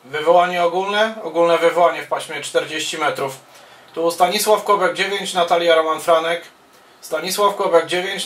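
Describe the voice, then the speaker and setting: Male ham radio operator, high voice, procedural calls mixed with casual remarks, hesitant phrasing, off-mic. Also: fairly bright tone. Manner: procedural, spells callsigns in phonetic words, hesitant between phrases. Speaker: amateur radio operator and YouTuber. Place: small wood-panelled radio room indoors. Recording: roomy, distant microphone sound.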